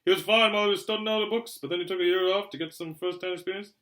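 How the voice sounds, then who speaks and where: A man voicing a huge, kindly, gruff storybook guide who talks in blunt, clipped, colloquial phrases. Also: sits high, fairly even intonation, racing, bright, forceful tone, pitched above the performer's own voice. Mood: breezy, matter-of-fact.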